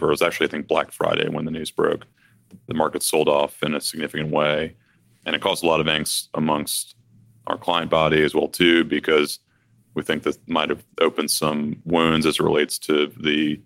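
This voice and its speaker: A man who works as a financial advisor, talking through market news in a deliberate way that thinks aloud. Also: very deep voice, fairly bright tone, no room sound. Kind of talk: conversational finance discussion.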